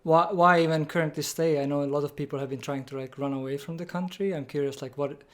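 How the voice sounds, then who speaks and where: Man, interviewer, casual, rambling, many fillers, somewhat high voice. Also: fairly even intonation, fast. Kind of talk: remote interview.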